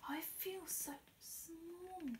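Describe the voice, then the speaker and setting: A woman's voice: very high-pitched, fairly even intonation, drawn-out words, slight background noise, voice pushed up, full character voice. A woman voicing a tiny, plucky female sea snail in a storybook, sighing and earnest. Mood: small, earnest.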